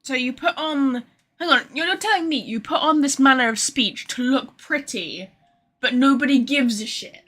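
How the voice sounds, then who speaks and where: A woman's voice: high voice, moderate pace, fairly bright tone. A young adult woman giving casual, informal livestream commentary while gaming. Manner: informal, sweary. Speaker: game streamer. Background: game music.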